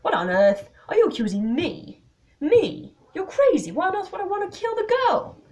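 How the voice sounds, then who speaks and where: A woman's voice: on the high side, big pitch swings, normal speed, mellow, pitched above the performer's own voice. A woman voicing a hot-headed young Englishman in a mystery story, blustering and emphatic. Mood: outraged.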